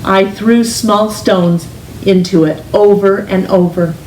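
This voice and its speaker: A woman reading a children's picture book aloud in an expressive, gentle, deliberate storytelling manner, with drawn-out emphasis on words. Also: medium pitch. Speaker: storytime reader, teacher. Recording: roomy, distant mic with faint hiss.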